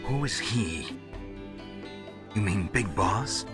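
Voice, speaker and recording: A world-weary male soldier speaks in a low, guarded voice. His lines are terse and stoic, in the manner of a game cutscene. Loud music plays underneath. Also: animated, slow. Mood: guarded, probing. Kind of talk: video game cutscene voice acting. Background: loud dramatic music.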